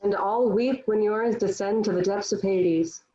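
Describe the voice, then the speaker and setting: A woman's voice: medium pitch, steady tone, a little slow, mellow, lo-fi sound, lightly acted. A woman playing a defiant, devout young warrior held prisoner, speaking earnestly and declaiming in a table read of a historical play. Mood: fervent.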